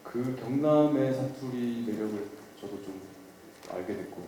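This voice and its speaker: A mellow-toned man talks at a press event, easygoing and a bit self-deprecating. His voice rings through a large echoey hall, with faint noise behind it. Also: mid-range voice, a little slow. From Daegu, South Korea. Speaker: lead actor at a press event. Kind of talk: answering press questions.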